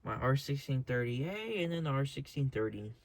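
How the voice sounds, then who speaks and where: A clearly noisy, clicky indoor recording carries an American man's mid-pitched voice. He talks casually, like someone showing off a hobby collection. His delivery wanders, with hesitations and self-corrections as he goes. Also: animated, brisk. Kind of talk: casual, unscripted show-and-tell. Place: indoors at home.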